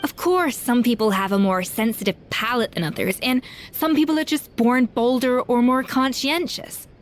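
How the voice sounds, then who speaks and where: A woman's voice: fairly high pitch, moderate pace, slight background noise, natural voice. A woman voicing an exacting, confident female master chef in a video game, articulate and lecturing, with assured delivery. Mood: earnest, assured. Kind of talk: video game voice acting, scripted dialogue.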